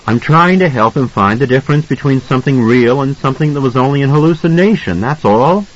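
A man's voice: mid-range voice, lively intonation, fairly quick, dull audio, voice pushed up, lightly acted. A man voicing a tense, volatile father in an audiobook, speaking with insistent control. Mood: insistent, soothing. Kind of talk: audiobook character acting.

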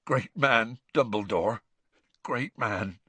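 A huge, soft-hearted half-giant gamekeeper from a storybook. His speech is hoarse and rustic, with an emotional, swinging pitch. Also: sing-song, moderate pace, no echo, full character voice. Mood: tearful, relieved. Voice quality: hoarse, croaky. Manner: rustic, plain-spoken.

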